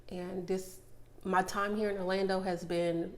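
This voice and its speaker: A woman's voice: medium pitch, little pitch movement, measured pace. Woman, earnest motivational coach. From Mississippi. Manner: earnest, reflective, laughs easily. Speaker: podcast guest, motivational coach. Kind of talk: casual interview conversation.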